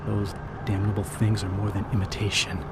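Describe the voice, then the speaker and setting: An actor playing a sombre, shaken member of a polar expedition in an audio drama. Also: male voice, fairly low pitch, monotone, moderate pace, heavy background noise, natural voice.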